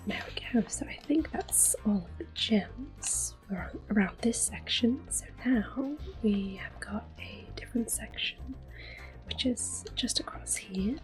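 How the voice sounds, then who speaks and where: Woman, soft whisper, ASMR gameplay, close mic, gentle and hesitant, faint game music behind. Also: mid-range voice. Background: faint game music and controller clicks.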